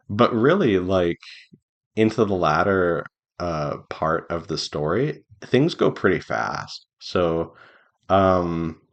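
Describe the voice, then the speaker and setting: A man giving an informal devlog update as an indie game developer, speaking haltingly as he thinks aloud. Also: sits low. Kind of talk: informal progress update to viewers.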